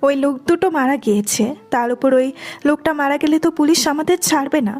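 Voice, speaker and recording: A woman's voice: sits high, quick pace, darkish tone, soft background music, close to an everyday voice. A woman playing an anxious, fretful young woman in an audio story. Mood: panicky. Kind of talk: Bengali audio story acting.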